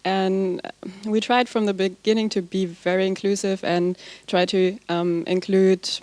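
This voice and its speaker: Woman, flat intonation, dry close sound, halting, filler-heavy. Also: medium pitch, no room sound. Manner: hesitant, halting, many fillers. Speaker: workshop participant. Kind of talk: group discussion report-back.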